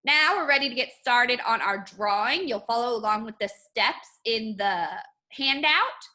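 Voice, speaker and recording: A woman with a fairly high, very bright voice reads a children's book aloud. Her intonation is highly animated and expressive, shaped like a teacher guiding young students through each page. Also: moderate pace. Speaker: art teacher.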